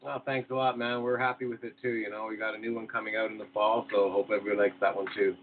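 A man, a guest musician, talking on a phone interview in a friendly, relaxed way with fillers. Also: lowish voice, fast. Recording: tinny phone line with faint noise.

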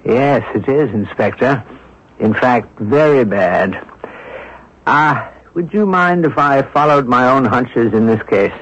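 An actor playing an observant, composed amateur sleuth in a radio mystery drama, reasoning aloud in a deliberate, inquisitive way. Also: male voice, mid-range voice, lively intonation, fairly bright tone, slightly rough, heavily acted. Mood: composed, insistent. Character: observant, composed amateur sleuth, a man puzzling over clues. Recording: dull lo-fi old radio broadcast recording.

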